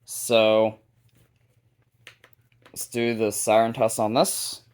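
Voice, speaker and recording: Low-voiced man, casual and halting. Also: monotone, darkish tone, a little hiss or hum. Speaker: hobbyist video host showing a radio collection. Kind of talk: casual unscripted product showcase.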